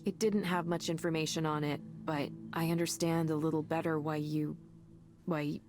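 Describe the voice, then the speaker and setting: A woman's voice: low-pitched, level tone, normal speed, background noise, lightly acted. A woman acting an earnest, idealistic tech worker in a visual novel game, speaking in a conversational, impassioned way. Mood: impassioned, pleading. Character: earnest, idealistic woman defending her mental-health tech work. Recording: music behind the voice.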